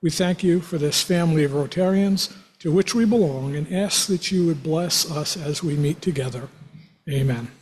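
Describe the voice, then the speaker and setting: Man, minister, loud jovial voice, husky, high pitch, prayer at a meeting. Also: normal speed, rough recording. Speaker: minister giving an invocation. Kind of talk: joke then spoken prayer.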